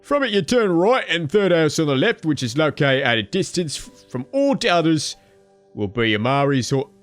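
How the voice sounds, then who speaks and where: A man's voice: a little high, sing-song, normal speed, soft background music, voice pushed up. A man doing a chatty folksy skeleton. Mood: friendly. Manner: folksy, slangy, rambling. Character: friendly undead male skeleton laborer.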